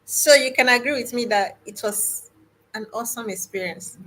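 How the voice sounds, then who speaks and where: A woman's voice: on the high side, normal speed, fairly bright tone, poor audio. A woman hosting an online panel in a chatty, exclamatory way over a video call.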